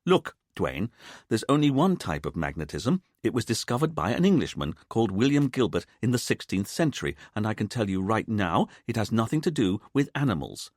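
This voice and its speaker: A man's voice: on the low side, very animated, a little fast, no echo, studio quality. A man voicing an uptight, fussy police detective who speaks in a clipped, exasperated way.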